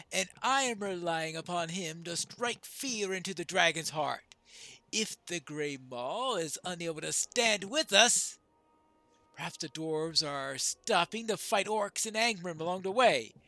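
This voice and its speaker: A man's voice: high-pitched, creaky, pushed and bright, dry recording, heavily acted. A man voicing a stern, commanding dwarf leader from a fantasy game, speaking formally and emphatically. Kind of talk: reading game quest dialogue aloud.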